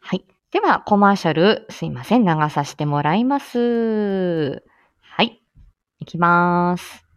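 A woman's voice: on the low side, expressive, slow. A woman hosting a live audio show, chatting casually and politely through morning announcements.

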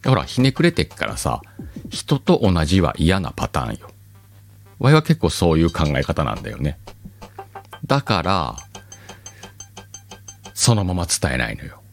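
Solo podcast host, male, low register, swooping delivery, self-mocking ramble over faint background music. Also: big pitch swings, normal speed, gravelly, long pauses. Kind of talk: casual solo chat.

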